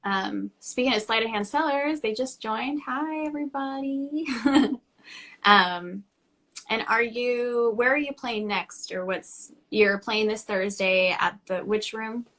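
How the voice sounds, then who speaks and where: A woman's voice: a little high, slow pace, a bit bright. A woman hosting a live-stream interview over a video call, reacting with upbeat enthusiasm. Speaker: host.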